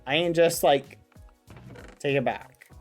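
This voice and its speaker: A man's voice: fairly high pitch, slow pace, soft background music. A man live streaming, chatting casually with his viewers in a rambling, playful, mock-indignant way. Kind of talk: casual chat with stream viewers while gaming.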